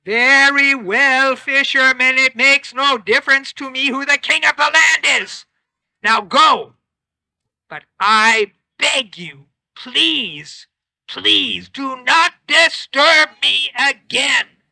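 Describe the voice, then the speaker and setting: A woman voicing a grumpy old male magic fish who speaks in slow, loud, weary commands. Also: high-pitched, no room sound, heavily acted, poor audio.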